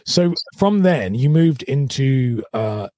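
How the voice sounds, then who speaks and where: A man with a hoarse voice hosting a podcast, asking eager, rambling questions in casual interview chat. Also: medium pitch, lively intonation, takes it slowly, warm tone, no echo. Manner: enthusiastic, rambling questions with many restarts. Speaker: podcast host.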